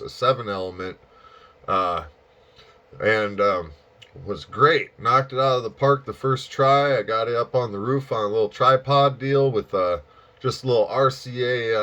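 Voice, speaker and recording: A man making casual amateur radio small talk in a halting, folksy way, with a dull, muffled sound. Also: on the low side, sharp tone. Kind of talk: casual radio contact chat.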